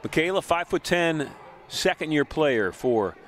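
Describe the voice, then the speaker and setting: A man giving brisk, informative play-by-play commentary on a college basketball game. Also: fairly high pitch, sing-song, a bit bright. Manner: brisk, informative, names players quickly. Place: college gym broadcast booth.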